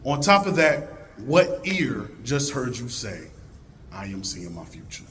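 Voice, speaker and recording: Deep-voiced man giving a rousing talk. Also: slow pace, slight background noise. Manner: animated, rousing, swings from loud calls to hushed low tones. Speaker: conference speaker. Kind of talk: motivational talk to a live audience. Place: conference hall.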